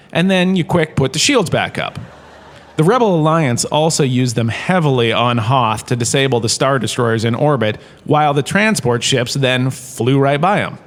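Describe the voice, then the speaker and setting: A man's voice: mid-range voice, close to an everyday voice. A man playing a washed-up showbiz star turned university lecturer, giving a chatty, jokey scripted lecture in a comedy audio drama. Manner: chatty, jokey, showman-like salesman patter.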